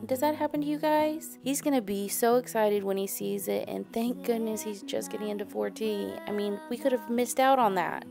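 A woman's voice: mid-range voice, moderate pace, creaky, few pauses. A woman in her thirties, a vlogger chatting upbeat and straight to her viewers while decluttering at home. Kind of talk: decluttering vlog voice-over. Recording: music playing underneath.

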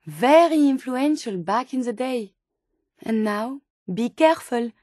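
A woman's voice: fairly high pitch, darkish tone, husky, no room sound, clean studio sound. A woman voicing a polite, curious female robot scientist in a video game, speaking formally and precisely with naive wonder. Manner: formal, precise wording with wide-eyed wonder. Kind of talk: scripted game companion dialogue.

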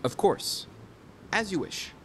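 A man's voice: takes it slowly, fairly bright tone, a little hiss or hum. A man playing a cautious, questioning crew member in an audio drama. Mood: doubtful.

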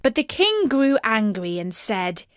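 A woman narrates a fairy tale for children. Her delivery is slow, measured and expressive. The sound is dry, with very little room in it. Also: on the high side, smooth, dry recording. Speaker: storyteller.

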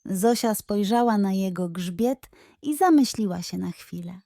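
A woman's voice: medium pitch, lively intonation, a little slow, gravelly, dark tone, no echo, clean studio sound. A woman narrating a scripted bedtime story for children as a storyteller.

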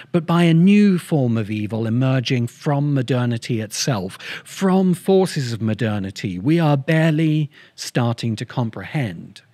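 Middle-aged male essayist-host. Measured, emphatic scripted delivery with dramatic stresses, mid-range voice, very dry sound. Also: mid-range voice, darkish tone, no room sound. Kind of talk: scripted narration, literary essay. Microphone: close studio-style microphone on stand.

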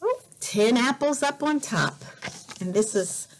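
A woman teacher reading a picture book aloud to children in a deliberate, exaggerated way, repeating words for learners, recorded indoors on a device camera. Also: a little high, lively intonation, slight background noise. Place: indoors in a room by a bright window.

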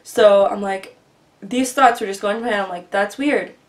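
A woman in her twenties earnestly telling a long personal story in casual, rambling vlog style, her voice often dropping quieter, recorded on a webcam in a small bedroom. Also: mid-range voice, normal speed. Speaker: vlogger. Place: small bedroom with bookshelf, indoors. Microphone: webcam at desk distance.

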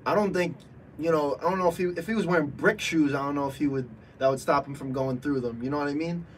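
A man's voice: fairly high pitch, fast, distant mic. A young man in a casual sports radio debate, often chiming in with agreement. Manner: agreeable, interjecting. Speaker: radio show panelist. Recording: off-mic with faint room noise.

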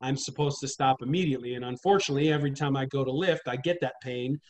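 Man, 30s, wrestling trainer on a video call; measured and earnest, plain mid-range voice. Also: medium pitch. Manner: measured, earnest, steady explaining. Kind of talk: casual interview over video call. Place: small indoor room at home. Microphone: webcam on video call.

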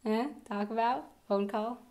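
A young American woman presenting a vlog to camera in an expressive, emphatic manner. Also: on the high side, a little slow. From United States. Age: young adult. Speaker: video host. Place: indoor room with decorated wall backdrop.

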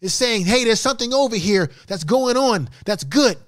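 A man's voice: high voice, expressive, normal speed, no room sound, clean studio sound. A middle-aged man hosting a live-stream tutorial, talking to his chat room in an emphatic, repetitive, instructive way. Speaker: livestream host, YouTube creator. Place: small home studio room indoors. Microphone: large microphone close in front of mouth.

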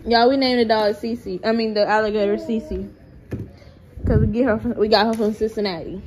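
A woman's voice: a little high, steady tone, normal speed, darkish tone, slight background noise, poor audio. A young woman, chatting playfully to viewers and a toddler in a casual family vlog, filmed on a handheld camera indoors. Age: 20s. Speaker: vlogger. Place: rented apartment kitchen, indoors. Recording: music playing over the voice.

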